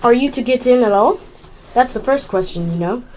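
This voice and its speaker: A woman's voice: on the high side, animated, fairly quick, low-quality recording. A female amateur actor playing a stubborn, unhelpful, illogical servant in a radio play. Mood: indifferent. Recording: loud background noise.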